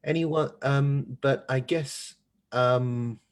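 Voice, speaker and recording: A man moderating an online panel discussion and reading out questions in a measured, formal, hesitant way. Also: mid-range voice, steady tone. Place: online video call.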